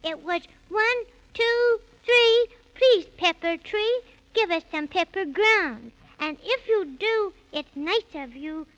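Polite six-year-old boy, timid yet eager, piping high voice, 1930s radio drama. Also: lively intonation, slow pace, few pauses, fairly bright tone, dull audio, full character voice. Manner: wide-eyed, very courteous. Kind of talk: 1930s children's radio serial acting.

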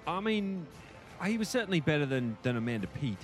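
A man with a fairly high-pitched voice talks through a film review as a podcast co-host. He rambles thoughtfully and hedges as he goes. Soft music plays faintly behind him. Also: big pitch swings, fairly quick. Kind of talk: casual movie review chat.

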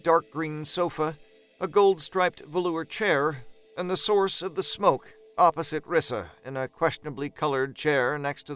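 A man's voice: on the high side, fairly bright tone, clear, resonant tone. A man narrating a scripted horror story in a dramatic storytelling style.

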